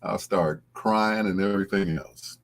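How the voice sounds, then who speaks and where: A man hosting a late-night music radio show, chatting casually and informally to his live listeners in a husky voice. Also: low voice, measured pace. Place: broadcasting on radio and live video stream.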